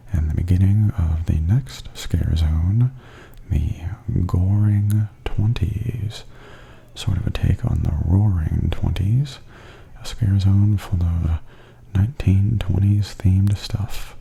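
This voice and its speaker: A man giving softly spoken ASMR tour commentary in a breathy, near-whispered, gentle and unhurried murmur. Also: deep voice.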